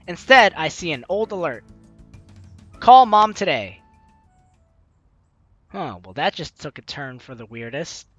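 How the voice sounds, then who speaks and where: A woman's voice: lowish voice, fairly bright tone, dry recording, lo-fi sound. A young woman hosting a game playthrough, reading the story aloud expressively and commenting as she goes, close on the mic. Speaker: let's play host.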